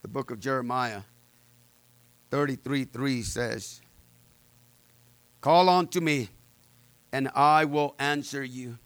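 A man preaching a sermon with personal testimony, loud and fervent, peppering his words with amens and uh's. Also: medium pitch, slow, pauses often, clear, resonant tone, no echo.

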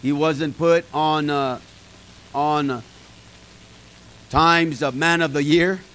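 Folksy preaching man, slightly high voice. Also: fairly even intonation, slow pace, a bit bright. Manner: folksy, emphatic, talks to the congregation directly. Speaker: pastor. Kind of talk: informal sermon to a small group.

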